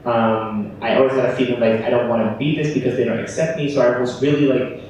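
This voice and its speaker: A man's voice: mid-range voice, fairly even intonation, fast talker, darkish tone. Brief: young male host of a live panel, casual, heard from far off in a very echoey hall. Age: young adult. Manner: informal, apologetic asides. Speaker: host of a student panel event. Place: large hall at a live event. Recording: echoey room from far off, faint noise.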